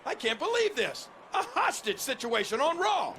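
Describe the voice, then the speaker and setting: An actor playing an excitable wrestling colour commentator in a video game. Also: male voice, very high voice, moderate pace, a little hiss or hum, full character voice. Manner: excitable, animated. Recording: commentary over loud music.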